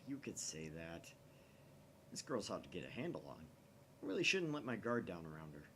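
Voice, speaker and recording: A man's voice: deep voice, big pitch swings, brisk, bright, forceful tone, slight background noise, pitched below the performer's own voice, full character voice. A man voicing a paranoid, melodramatic young self-styled mad scientist in a visual novel, theatrical and suspicious. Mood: guarded. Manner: theatrical, suspicious, dramatic whispers and outbursts.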